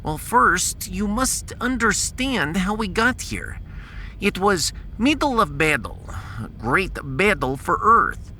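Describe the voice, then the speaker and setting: A man's voice: high-pitched, very animated, slow, sharp tone, slight background noise, voice pushed up, exaggerated voice. A man playing a proud, pedantic scientist in a science fiction audio drama, long-winded and dropping his articles. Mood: explaining.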